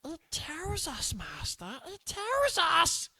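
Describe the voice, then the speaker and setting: A sneaky, wheedling cave creature with a high, raspy voice. The pitch leaps up and down, and the delivery is slow and drawn out. It sounds dry and close to the mic. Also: male voice, huge pitch swings, pushed and bright, dry recording, heavily acted. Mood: sly groveling. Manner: groveling, addresses the other as master. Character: creepy wheedling male cave creature, small and sly.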